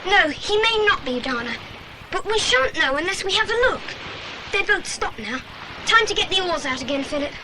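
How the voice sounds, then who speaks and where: A plucky young boy voiced by a woman taking charge in an earnest children's adventure play. The voice is very high and lively, crisply commanding, under loud background noise. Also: moderate pace. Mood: steady. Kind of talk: children's audio drama acting. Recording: very noisy older dramatised recording.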